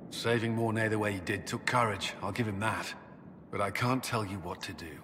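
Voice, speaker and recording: An actor playing a stern, conflicted military advisor in a video game, giving serious, measured briefings. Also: male voice, fairly low pitch, a bit bright, close to an everyday voice. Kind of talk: dramatic video game acting. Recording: reverberant hall, faint background noise.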